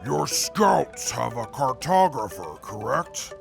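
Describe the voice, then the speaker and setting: A man playing a stiff, logical intellectual who speaks formally and deadpan in a post-apocalyptic audio drama. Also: mid-range voice, takes it slowly, creaky, over music, heavily acted. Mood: formal, measured. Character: Atlas, stiff logical field interviewer, amoral intellectual.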